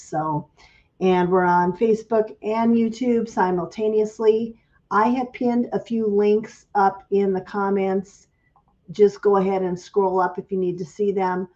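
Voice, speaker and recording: A woman hosting a casual livestream for her craft business, slightly raspy from a cold and greeting viewers by name. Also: medium pitch, dark tone, dull audio.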